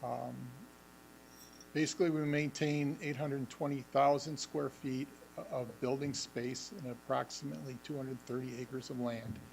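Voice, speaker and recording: A man presenting a facilities update at a school board meeting, halting with many ums and restarts, and losing his voice. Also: mid-range voice, steady tone, gravelly, darkish tone, slight background noise, poor audio. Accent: Western New York. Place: school administration meeting room.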